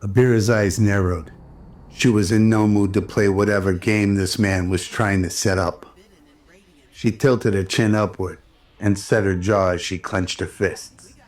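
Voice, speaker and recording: Very low, even-toned male narrator. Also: a little slow. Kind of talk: scripted narration of a radio drama.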